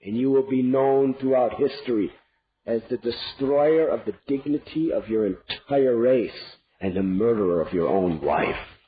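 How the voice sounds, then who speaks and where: A man voicing a stern, vengeful queen who speaks coldly and deliberately, on a dull lo-fi recording. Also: medium pitch, slight background noise, close to an everyday voice. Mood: cold threat. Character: cold, vengeful queen demanding her boons.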